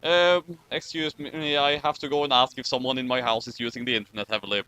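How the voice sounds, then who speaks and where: A man's voice: medium pitch, steady tone, quick pace, noisy background. A man reading fan fiction aloud and chatting about it over an online voice call. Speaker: guest reader of fan fiction.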